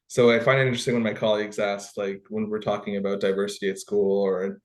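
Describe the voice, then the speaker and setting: A man from Guatemala, a football coach, talking in an interview in a humble, conversational way with many pauses and restarts. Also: fairly low pitch, flat delivery. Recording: roomy echo.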